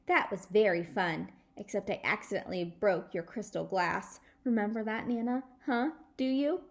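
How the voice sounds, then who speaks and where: A woman voicing an excitable, blunt little schoolgirl from a storybook, with a dramatic childlike manner. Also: mid-range voice, moderate pace, natural voice. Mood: chatty, eager.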